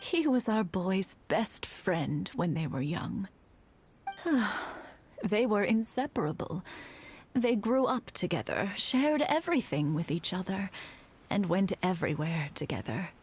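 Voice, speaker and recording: An actress playing a warm elderly wife in a video game, gently teasing and motherly. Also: mid-range voice, animated, measured pace, slight background noise, close to an everyday voice. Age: older. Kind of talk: game voice acting. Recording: game dialogue with music behind.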